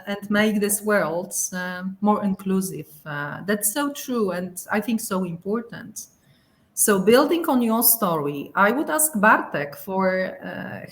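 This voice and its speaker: A woman hosting a webinar panel, who sums up her guests' points and asks follow-up questions. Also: mid-range voice. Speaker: webinar host and moderator.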